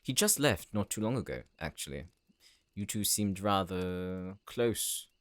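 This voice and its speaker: Casting: man as a low-voiced, silky, threatening visitor, dry close sound. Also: animated, unhurried, dry recording. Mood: smooth, probing. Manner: suave and teasing. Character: smooth, quietly menacing man from the city. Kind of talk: audio roleplay acting.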